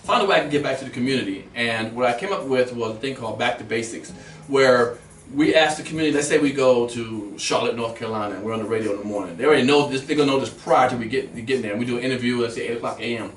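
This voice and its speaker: Fast-talking, profane comedian in a roomy studio. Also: male voice, medium pitch, a little hiss or hum. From grew up in Germany, then Washington DC. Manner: animated, profane, quick storyteller. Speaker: comedian guest. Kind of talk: casual radio interview storytelling. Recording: roomy, distant mic with faint ambience.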